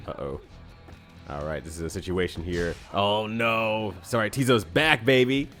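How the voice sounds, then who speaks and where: A man commentating a video game with friends, reacting excitedly and laughing. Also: fairly low pitch, moderate pace, soft background music. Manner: excitable, laughing, lively play-by-play. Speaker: let's play commentator. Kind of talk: casual gameplay commentary.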